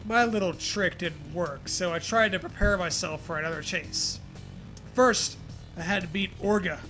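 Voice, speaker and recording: A man with a high, very bright voice reads a story aloud as a host. His delivery is dramatic and slips into crude, joking chat. Faint music plays behind him. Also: moderate pace, poor audio. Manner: profane, jokey, animated reactions.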